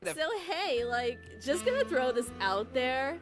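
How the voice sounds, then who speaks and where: A woman's voice: high voice, animated, a little slow, over music. A woman playing a flirty, teasing character in a comedy skit.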